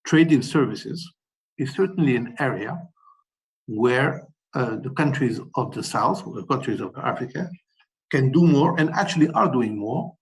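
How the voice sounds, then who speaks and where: A man from Morocco speaking as a webinar panelist, setting out his points in a measured way with many fillers and restarts. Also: medium pitch, expressive, poor audio. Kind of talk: policy talk, numbered key messages.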